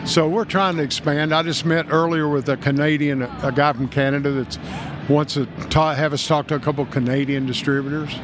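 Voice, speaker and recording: A man's voice: on the high side, fairly even intonation, brisk, background noise. Folksy older Kentucky man. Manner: folksy, rambling, enthusiastic salesman. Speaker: guest, music store owner. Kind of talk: casual trade-show interview.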